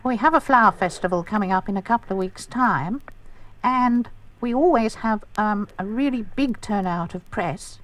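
A man's voice: high voice, normal speed, fairly bright tone, pitched above the performer's own voice. A man voicing a prim, genteel middle-aged chairwoman on a prank phone call, polite and hesitant. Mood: polite, eager.

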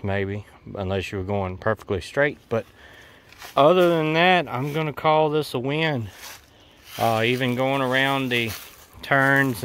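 A man with a Southern US accent narrates a homestead how-to outdoors. His voice is smooth and clear, at a medium pitch. He talks in a folksy, unscripted way, plain-spoken and a bit rambling. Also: fairly bright tone. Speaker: homestead DIY video maker.

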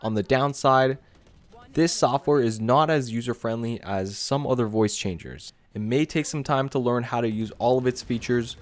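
A man with a mid-pitched voice narrates a scripted software review. He addresses viewers directly in a casual, conversational way, like a video creator walking through a list of options.

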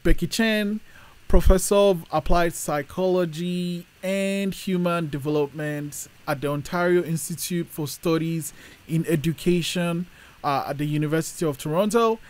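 A man's voice: a little high, normal speed. A man in his thirties hosting a panel interview, introducing guests and putting questions to them over a video call. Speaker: podcast host.

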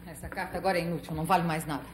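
Woman playing a low-voiced, imperious Brazilian villainess. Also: fairly even intonation, very fast, lightly acted. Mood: cold. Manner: cutting, commanding, dramatic. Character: imperious, contemptuous villainous woman boss of bandits. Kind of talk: 1970s telenovela villain acting. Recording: old TV soundtrack under loud music.

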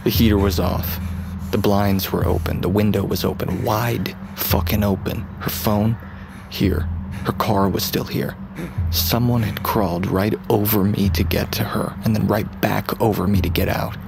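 A man's voice: lowish voice, fairly even intonation, a little slow, vocal fry, few pauses, over music. An actor playing a bitter, guilt-ridden, foul-mouthed young man in an audio drama. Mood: brooding, bitter. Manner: profane, brooding. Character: bitter, guilt-ridden young man, drug dealer, narrating his ordeal. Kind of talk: audio drama acting, first-person narration.

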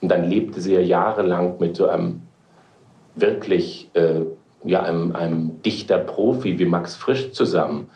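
Deep, gravelly man reminiscing in a room. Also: unhurried, dark tone. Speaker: literary editor reminiscing. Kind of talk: spoken reminiscence, interview. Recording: roomy archive interview recording.

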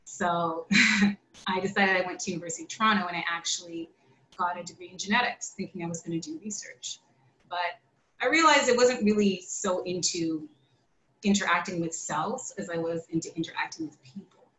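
A woman's voice: lowish voice, moderate pace, pushed and bright. A woman optometrist talking on a panel over a video call, conversational and often adding "you know". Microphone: video call webcam. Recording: echoey room with faint background hiss.

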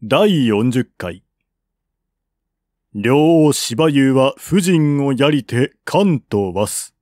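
A man narrates a historical war chronicle in formal, solemn prose. His pace is measured and his pitch sits in the middle. The sound is dry and close, free of room echo. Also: lively intonation, darkish tone. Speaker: audiobook reader. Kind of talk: scripted narration of a historical novel.

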